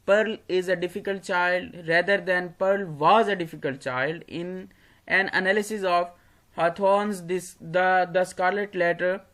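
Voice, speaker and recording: A teacher with a Pakistani accent lecturing students, reading text aloud and explaining it in a halting way, often repeating phrases. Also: medium pitch, fairly even intonation, fairly bright tone. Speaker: English composition teacher.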